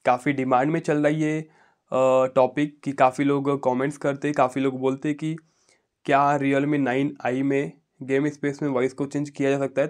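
A man giving a casual phone tutorial voice-over, repeating himself and often checking in with listeners. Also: medium pitch. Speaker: tech YouTuber.

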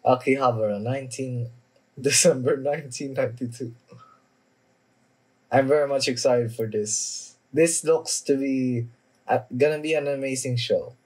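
A young man giving a casual, sweary reaction in a halting, informal way, sitting in a room at home. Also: mid-range voice, fairly even intonation, darkish tone, husky, poor audio. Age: young adult. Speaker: reaction video host. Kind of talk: casual anime reaction chat. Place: small indoor room at home.

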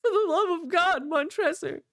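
Chained man walled in alive, shrill hysterical laughter, then a desperate cry, put on by a woman reader. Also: takes it slowly, darkish tone, dry recording, clean studio sound. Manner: high hysterical laughing pleas.

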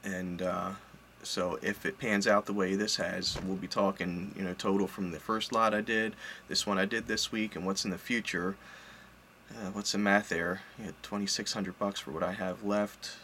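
Chatty low-voiced man in a home office. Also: level tone, normal speed, sharp tone, a little hiss or hum. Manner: chatty, rambling, self-correcting, offhand. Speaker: collector and online reseller. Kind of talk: casual vlog update. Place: home office full of collectibles, indoors. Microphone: webcam at desk, seated.

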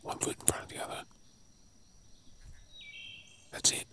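An Australian man playing a weary, protective survivor of a zombie apocalypse in a whispered ASMR role play, hushed, urgent and coaxing. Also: racing, lightly acted. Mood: gentle urging.

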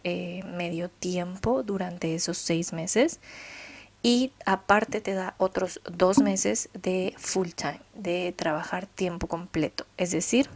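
A woman's voice: fairly low pitch, creaky. A woman in her twenties with a Mexican accent, chatting casually and explaining things as a vlogger. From Guadalajara, Mexico. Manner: chatty, explanatory, keeping it down at night. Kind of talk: casual storytime chat to camera. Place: small bedroom, indoors. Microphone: desktop microphone close in front.

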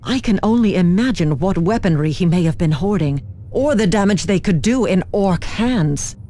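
A woman's voice: on the low side, expressive, normal speed. A woman playing a composed, authoritative official in a sci-fi video game, speaking formally and with measure. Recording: loud music and battle sounds behind.

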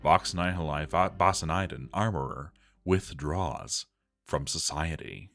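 Brief: male narrator, very low pitch, dramatic scripted read with music underneath. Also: unhurried, gravelly, fairly bright tone. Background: background music with guitar.

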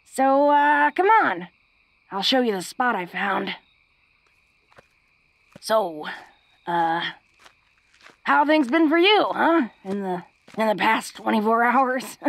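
A woman's voice: on the high side, expressive, takes it slowly, gravelly, pauses often, dry recording, heavily acted. A woman playing a tough, flirty, secretly awkward female orc in an intimate romantic audio roleplay, speaking in a hesitant, folksy, teasing way.

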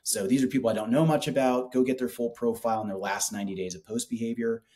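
A man in his forties making a casual, enthusiastic product pitch in conversation, speaking colloquially on a video call. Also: fairly even intonation, quick pace, mellow. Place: small office with whiteboard, indoors.

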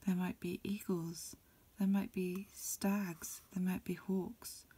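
Breathy whispering woman, ASMR reading. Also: fairly low pitch, flat delivery. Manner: soft, unhurried, phrase-by-phrase reading. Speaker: amateur reader. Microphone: lo-fi home recording.

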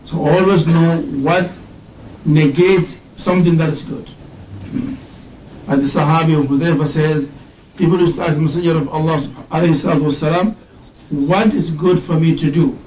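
A middle-aged male religious teacher with a fairly high voice, asking his students questions and unpacking each term with patience, now and then reciting Arabic verses. He is heard in a roomy classroom and sounds a little distant from the mic. A faint hum sits behind him. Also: fairly even intonation, darkish tone. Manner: questions the audience, explains terms slowly, recites Arabic verses. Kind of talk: interactive religious lesson. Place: indoor classroom with whiteboard.

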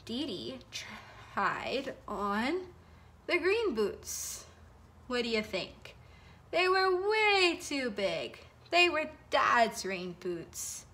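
A young woman reads a children's felt board story in a high, bouncy voice that swoops up and down. She takes her time, dropping to whispers and gasping for effect. It sounds like a phone recording made in a living room. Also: extremely slow. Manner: sing-song, expressive, gasps and whispers for effect. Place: home living room, indoors. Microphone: phone camera held over the felt board.

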